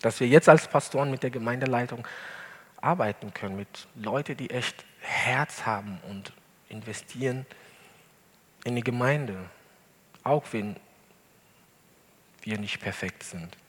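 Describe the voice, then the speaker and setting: A male pastor, not a native German speaker, preaching an earnest sermon in a church hall with deliberate emphasis. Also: mid-range voice, breathy edge. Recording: long hall reverb.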